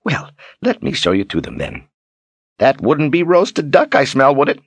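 A man's voice: medium pitch, huge pitch swings, normal speed, slightly rough, no room sound, voice pushed up, exaggerated voice. A man voicing a friendly, homely female innkeeper who is eager to oblige, as in an audiobook. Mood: obliging. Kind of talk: audiobook character acting.

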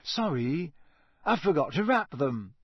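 A man's voice: a little high, sing-song, a little slow, slightly rough, no room sound, studio quality, exaggerated voice. A man voicing a teenage schoolboy wizard for an audiobook, casual, kindly and a little hesitant. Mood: casual, sheepish. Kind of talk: audiobook character voice.

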